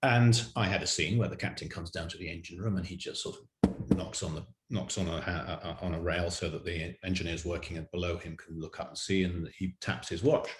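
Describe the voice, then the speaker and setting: A man telling an anecdote in an interview as a writer, explaining in measured detail, recorded with roomy echo. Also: low-pitched, steady tone. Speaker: writer of audio drama, interview guest. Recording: roomy, echoey sound.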